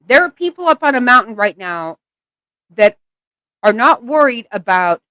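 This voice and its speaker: A woman's voice: fairly high pitch, sing-song, moderate pace, sharp tone, no echo. A woman giving blunt, exasperated reaction commentary, swearing often. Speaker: channel host reacting to clips.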